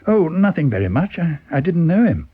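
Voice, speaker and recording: A male reader plays a reserved bachelor in an audiobook tale, uneasy and careful to stay polite. The voice is husky and hesitant, rising and falling a good deal as it stumbles over small courtesies. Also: fairly high pitch, very animated, moderate pace, phone-quality sound, voice pushed up. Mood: polite, wary. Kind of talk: dramatised audiobook reading.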